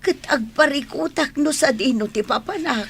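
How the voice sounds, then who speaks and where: A woman acting a humble, aggrieved elderly mother seeking work as a maid in a radio drama, with a husky voice. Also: high voice, moderate pace, a bit bright. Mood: plaintive.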